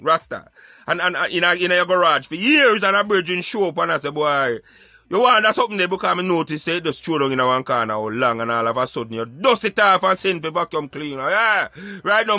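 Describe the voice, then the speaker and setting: A man's voice: high voice, bright, forceful tone, no room sound. A Jamaican man giving emphatic, opinionated social commentary in Patois, like a street philosopher preaching on a radio show.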